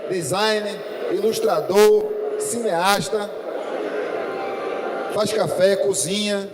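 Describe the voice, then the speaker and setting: A man's voice: high-pitched, fairly even intonation, slow pace. A man with a Pernambuco accent moderating a debate, chatty and joking with regional slang. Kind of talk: casual event moderation. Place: large conference hall. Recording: reverberant hall with audience noise.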